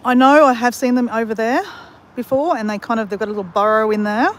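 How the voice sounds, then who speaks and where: A woman's voice: fairly high pitch, steady tone, fast talker, a little hiss or hum, low-quality recording. An Australian woman chatting to her viewers while she walks around outdoors in a country town and along a creek. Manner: chatty, talks straight to viewers as "guys". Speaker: vlogger. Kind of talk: casual walking vlog.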